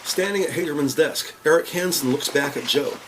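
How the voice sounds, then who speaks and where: A man voicing the narrator of a crime audio drama, describing scenes in a measured, wry, scripted way. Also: fairly high pitch, creaky, noisy background. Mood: matter-of-fact.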